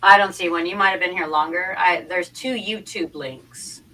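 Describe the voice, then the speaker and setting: Bright-voiced woman, a little off the mic. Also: lowish voice, steady tone, moderate pace. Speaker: livestream panel guest. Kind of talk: casual livestream chat. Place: online group video call. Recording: distant mic with faint background noise.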